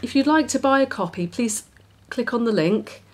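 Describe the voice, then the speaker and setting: Animated, higher-voiced woman reading a children's story. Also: normal speed. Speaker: author and narrator. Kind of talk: expressive children's story narration.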